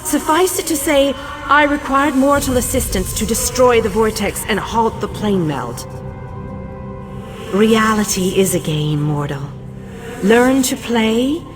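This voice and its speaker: Ancient, coldly regal deity for a fantasy game: haughty, unhurried, commanding, over prominent music. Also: female voice, on the high side. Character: imperious ancient Daedric goddess of light, coldly regal. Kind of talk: dramatic fantasy game dialogue. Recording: studio voice under prominent music.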